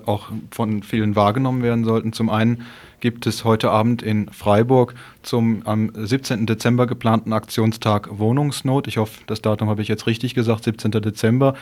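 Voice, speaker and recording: A man's voice: on the low side, a little mellow. A man presenting event announcements on radio in an informal, factual manner.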